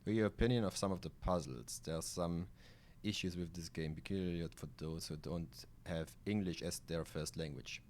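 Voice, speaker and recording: A man with a German accent and a very low voice talks through a video game on a live stream. A native German speaker, he moves between reading game text aloud and loose, sweary asides in a casual, rambling way. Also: normal speed, a little mellow.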